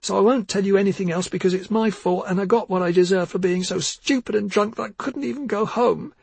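A distraught teenage girl, as a male narrator puts her on, crying and shouting in a thin, strained voice pushed far up in pitch. The sound is dry and a little husky. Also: fairly quick, no echo, heavily acted. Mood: sobbing, defiant.

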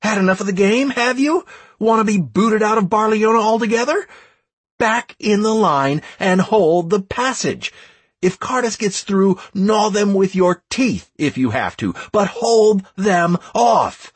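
A man voicing a young male gamer leading a raid, barking urgent, forceful battle orders in a fantasy audiobook. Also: a little high, wildly swooping pitch, a little fast, few pauses, slightly rough, pitched above the performer's own voice, exaggerated voice. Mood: angry, shouting. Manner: commanding, forceful shouting.

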